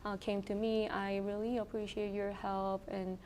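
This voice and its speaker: Korean-accented woman in her thirties, mid-pitched, thoughtful and hesitant with frequent fillers; faint room hiss. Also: level tone, moderate pace, darkish tone. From South Korea. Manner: thoughtful, with frequent ums and you-knows. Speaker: internal medicine resident. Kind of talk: interview answers.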